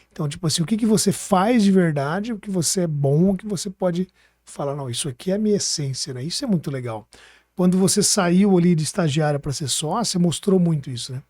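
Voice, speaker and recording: Man, Brazilian accent, slightly high mellow voice, casual podcast host, colloquial. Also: no room sound, studio quality. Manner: colloquial, seeks agreement with tag questions. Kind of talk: casual chat, interviewing.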